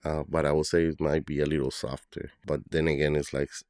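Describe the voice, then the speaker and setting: Very deep-voiced man from Colombia. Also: level tone, brisk, darkish tone, no echo, studio quality. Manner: loose, thinking aloud with many fillers. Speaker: guest, bartender and brand ambassador. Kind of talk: casual interview talk.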